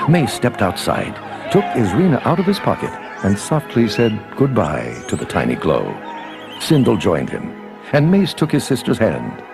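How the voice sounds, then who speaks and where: A man's voice: on the low side, huge pitch swings, moderate pace, creaky. A man reading a scripted children's adventure story as a dramatic narrator, over music.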